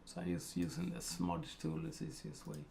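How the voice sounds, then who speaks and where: A man from Sweden with a Swedish accent, talking through a live digital painting tutorial in slow, hesitant narration full of fillers. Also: fairly high pitch, steady tone, vocal fry, warm tone, slight background noise.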